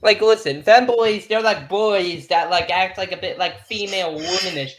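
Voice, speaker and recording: A man's voice: high voice, moderate pace, fairly bright tone. A man from Texas in casual chat on a live stream call, rambling with lots of fillers. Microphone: video call. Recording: echoey room on a call.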